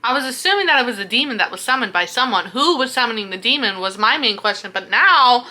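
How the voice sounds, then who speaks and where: A woman chatting casually about a book, expressive, with plenty of slang and swearing. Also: fairly high pitch, fast talker. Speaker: book reviewer and vlogger.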